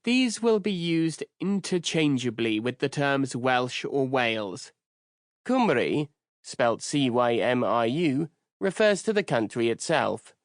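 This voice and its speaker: A man narrating a nonfiction audiobook in the first person, reading in a measured, clear way on a close studio microphone. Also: medium pitch, animated, no room sound.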